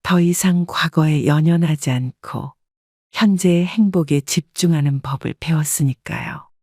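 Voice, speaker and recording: A woman in her sixties narrating her own story in the first person, calm and reflective. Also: sits low, a little slow, dry recording, studio quality.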